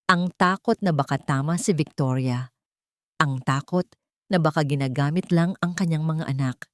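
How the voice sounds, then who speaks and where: A woman narrating a scripted audiobook drama in a steady, dramatic storytelling manner. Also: sits low, normal speed, dry recording, clean studio sound.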